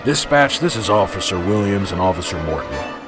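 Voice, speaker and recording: A man's voice: sits low, expressive, fairly quick, over music. A man playing a stern, urgent, authoritative police officer in a radio drama. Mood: grim urgency. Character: stern, commanding veteran male police officer.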